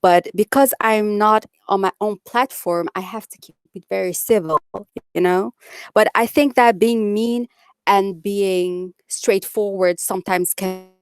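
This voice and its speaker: A woman's voice: mid-range voice, steady tone, measured pace, smooth, dry recording. A young woman with a Dutch accent, chatting bluntly with viewers on a live stream and reading out their comments. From Netherlands. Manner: blunt, outspoken, says it like it is.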